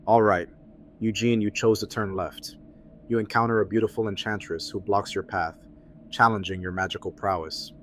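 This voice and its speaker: A man's voice: on the low side, fairly even intonation, normal speed, a bit bright, slight background noise, close to an everyday voice. A man playing a friendly, loyal, laid-back game master who talks in casual slang, in an audio drama. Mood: game-master narrating.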